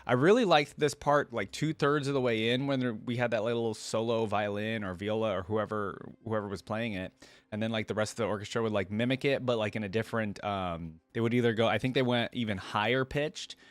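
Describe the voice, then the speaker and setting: A man's voice: lowish voice, fairly quick, dry recording. A man hosting a reaction video, commenting in a casual, slangy and exclamatory way.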